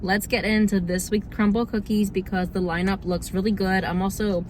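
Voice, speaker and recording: A young woman with a slightly hoarse, under-the-weather voice, chatting casually and informally as she hosts a food review vlog. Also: medium pitch, flat delivery, normal speed, a little mellow, slight background noise. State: slightly hoarse, getting over a cold. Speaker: host of a cookie review video series.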